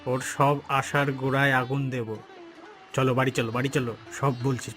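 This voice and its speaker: A man's voice: mid-range voice, level tone, fairly quick, a bit bright, natural voice. A man acts out a jealous elder brother plotting harm in a cartoon. He is menacing and conspiratorial, a melodramatic villain with faint music beneath.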